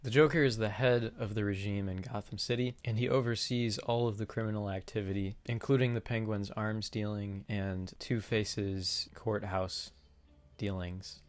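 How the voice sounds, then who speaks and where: A man's voice: fairly low pitch, steady tone, normal speed. A man presenting a vlog to his viewers as an amateur voice actor. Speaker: video creator and amateur voice actor.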